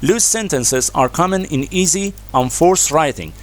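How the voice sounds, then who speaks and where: A man reading academic practice passages aloud in a measured, formal, scripted way. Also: fairly high pitch, expressive. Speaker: narrator of exam practice readings.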